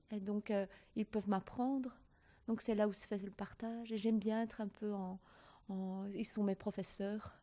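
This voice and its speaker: A woman with a mellow, dark-toned voice describes her work as a singer in hospitals. She speaks in an easy, warm way and laughs now and then as she goes. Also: mid-range voice. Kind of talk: self-introduction at a panel.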